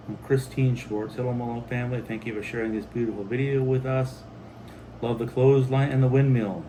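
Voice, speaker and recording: American guy doing a casual homestead vlog, medium pitch, bit of background noise. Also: flat delivery, fairly quick. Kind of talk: casual chat reading viewer comments.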